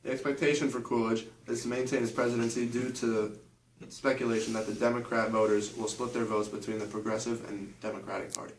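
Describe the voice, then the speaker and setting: A man's voice: medium pitch, level tone, fast, hardly any pauses, far from the mic. Male amateur newsreader, very echoey, noisy room. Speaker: co-anchor in an amateur mock 1920s newscast. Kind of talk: scripted news reading.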